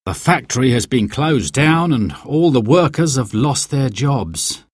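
Man voicing an earnest, slow-spoken storybook uncle. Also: mid-range voice, very animated, breathy edge, dry recording, clean studio sound. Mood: sad, concerned.

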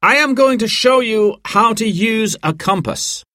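A man's voice: sits high, lively intonation, a little slow, sharp tone, no room sound, studio quality, pitched above the performer's own voice, exaggerated voice. A man voicing an upbeat male mountain guide with an emphatic, instructive manner in a storybook.

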